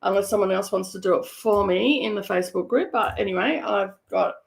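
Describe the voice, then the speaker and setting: A woman hosting a stitching live stream from her home studio, hoarse and croaky today, chatting in a rambling way with many fillers. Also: medium pitch, brisk, background noise. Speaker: craft live-streamer host. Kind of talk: casual chat live stream.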